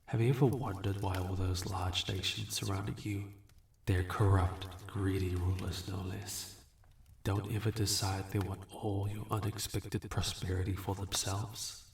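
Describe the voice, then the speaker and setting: Guy doing a smug archdemon for fantasy roleplay audio, really deep voice, echoey, weary and talking down to you. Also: a little hiss or hum. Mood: menacing, taunting. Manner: condescending, deliberate, smooth-talking. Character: smug, weary, manipulative male archdemon bargaining for contracts. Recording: roomy, echoing voice recording.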